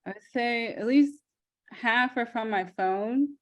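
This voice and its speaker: An American woman on a casual video call, friendly and quick to laugh, giving short answers. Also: a little high, muffled recording. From United States. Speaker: guest on a coaching call.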